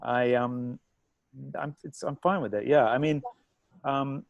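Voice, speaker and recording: A man from England, a guest artist, answering interview questions haltingly with many restarts and fillers over a video call. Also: mid-range voice, gravelly, no room sound, lo-fi sound.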